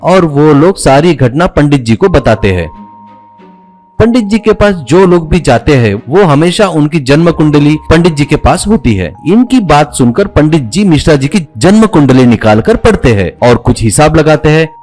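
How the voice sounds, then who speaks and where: A man's voice: a little high, big pitch swings, normal speed, a little mellow, soft background music. A man reading scripted narration for a cartoon story.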